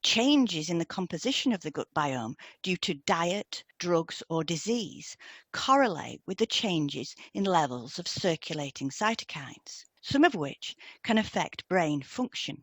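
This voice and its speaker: A woman co-presenting a scripted educational lesson in a measured, deliberate reading style. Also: on the low side, expressive, dry recording.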